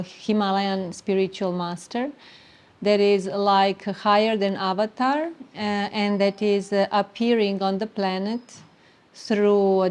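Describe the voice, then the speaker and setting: A middle-aged woman from Serbia with a Serbian accent, telling her spiritual story in an earnest, halting way with many fillers. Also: mid-range voice, darkish tone. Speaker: interviewee sharing spiritual story. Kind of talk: reflective personal testimony.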